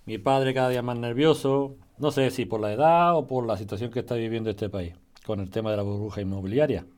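A man acts as a probing, inquisitive husband in a radio play. His voice sits at a middle pitch and he talks in a conversational, domestic way. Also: fairly quick, a little mellow, natural voice. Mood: affectionate, tired. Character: inquisitive husband working in family construction firm. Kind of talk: acted radio drama dialogue.

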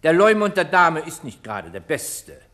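An actor playing a haughty, sarcastic public prosecutor in a radio crime play, speaking with biting irony and pointed rhetorical pauses. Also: male voice, on the high side, sing-song, fairly bright tone. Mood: accusing. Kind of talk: German radio crime play acting, courtroom oratory.

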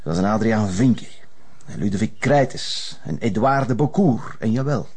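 An actor playing a sardonic, menacing avenger with a husky Flemish voice and a mocking, taunting manner. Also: male voice, fairly low pitch, normal speed, a little mellow. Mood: bitter, accusing. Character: menacing, sardonic male avenger holding a gunman's upper hand. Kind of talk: Flemish television crime drama acting.

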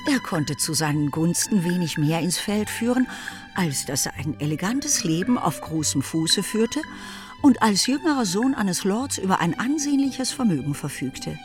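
Narrator for literary radio play: woman, lowish voice, scripted storytelling, faint music behind. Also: sing-song, moderate pace.

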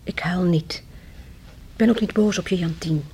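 A soft spoken young bride, husky mellow voice, gentle and tired, radio play. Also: female voice, lowish voice, moderate pace. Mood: hurt, restrained. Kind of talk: radio drama acting.

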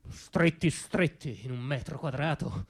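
Man acting high-pitched, agitated, torn dreamer, theatrical. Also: husky. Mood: anxious wavering. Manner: agitated, halting, repeats himself, swings from muttering to cries. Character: anxious, conflicted dreamer, a man torn between conscience and panic. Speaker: stage monologue actor. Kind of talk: dramatic comic theatre monologue.

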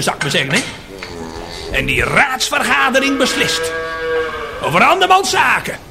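An angry cow, very high voice, wildly swooping pitch, rousing speechmaker, radio play, music behind. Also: male voice, vocal fry, full character voice. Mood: scornful, urging. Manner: declamatory, rousing, rhetorical questions and repeated refrains. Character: furious cow rallying the herd against city builders. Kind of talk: theatrical radio play monologue.

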